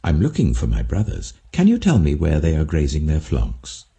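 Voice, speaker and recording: Eager, earnest young son recounting dreams, played by a male reader, deep-toned and highly animated, scripture audiobook. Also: moderate pace, vocal fry, husky, heavily acted. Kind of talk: dramatic Bible audiobook character reading.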